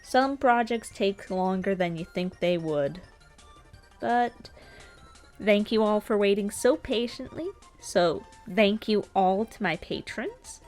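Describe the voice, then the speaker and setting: A woman's voice: mid-range voice, takes it slowly, darkish tone. A woman narrating her own art video as a casual commentary, informal and lightly self-deprecating, with a joking touch. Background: music.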